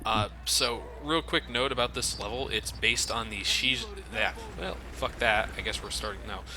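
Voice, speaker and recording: A man's voice: medium pitch, moderate pace, background noise. A man hosting a let's play with casual, sardonic, sweary game commentary.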